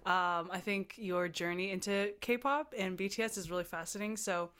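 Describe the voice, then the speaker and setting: A woman hosting a casual conversation, warm in her questions, with lively, excited rises and frequent laughter. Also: mid-range voice, moderate pace, smooth. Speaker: host. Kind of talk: casual interview.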